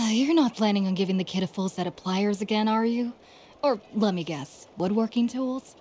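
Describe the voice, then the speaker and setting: A woman's voice: medium pitch, moderate pace, a little mellow, a little hiss or hum, lightly acted. A woman playing an amiable young townswoman in a video game, talking in a conversational, explanatory way. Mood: friendly. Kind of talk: video game character acting. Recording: game music behind. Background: game music.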